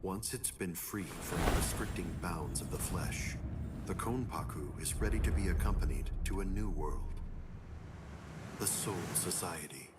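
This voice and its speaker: An actor playing a solemn, ominous narrator in a game cutscene, speaking in a grave, formal, measured manner. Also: male voice, low-pitched, very noisy. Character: solemn, ominous male narrator of death and souls.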